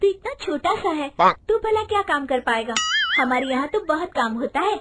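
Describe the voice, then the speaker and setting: A woman plays a young daughter-in-law helping run an eatery. Her voice is very high and animated. She is brisk and blunt, in lively storybook style. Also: very noisy. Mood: brisk, skeptical. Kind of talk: animated Hindi storybook voice acting.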